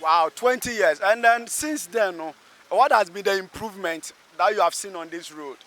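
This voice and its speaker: A man's voice: high voice, moderate pace, sharp tone. A man with a Ghanaian accent reporting from the roadside and interviewing people as a news reporter. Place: outdoors at a busy roadside underpass.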